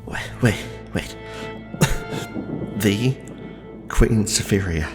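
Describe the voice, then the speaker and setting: A man acting as a nervous young necromancer in a fantasy audio roleplay, speaking formally and pleadingly with halting politeness. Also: fairly low pitch. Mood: flustered, apologetic. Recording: long hall reverb, faint music. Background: faint background music.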